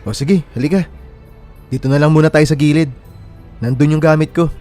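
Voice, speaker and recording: A man's voice: fairly high pitch, steady tone, brisk, warm tone, faint music behind, close to an everyday voice. An actor playing a friendly young man in a radio drama, talking in a casual, conversational way. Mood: worried, chatty. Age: young adult. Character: friendly, worried young man waiting at a bus terminal. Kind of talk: naturalistic radio drama dialogue in Tagalog.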